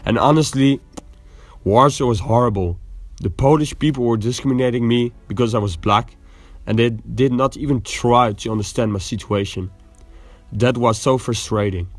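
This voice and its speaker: A man's voice: medium pitch, no room sound, natural voice. A man playing a weary young war refugee. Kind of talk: scripted monologue.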